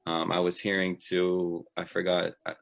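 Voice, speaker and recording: Casting: upbeat guy in his twenties on a laptop video call, very low voice. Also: completely flat delivery, normal speed, vocal fry, lo-fi sound. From Texas. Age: 20s. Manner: upbeat, chatty, with soft laughs. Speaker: guest. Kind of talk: casual video-call chat.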